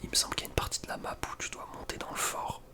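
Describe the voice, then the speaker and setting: A young man speaks in a soft breathy whisper, giving casual, slangy ASMR gaming commentary with a hesitant delivery. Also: rapid-fire. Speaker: ASMR video creator.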